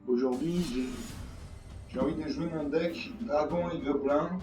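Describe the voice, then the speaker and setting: A hoarse man hosting a gaming channel, talking through a card game in a rambling, repetitive way with many fillers. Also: a little high, normal speed. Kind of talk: casual game commentary. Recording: distant mic, echoey room, dull lo-fi sound, faint music.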